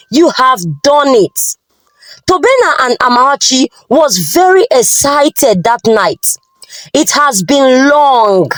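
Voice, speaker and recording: Nigerian female folktale narrator, slow pace, very lively pitch, close dry sound. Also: no echo, rough recording. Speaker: storyteller.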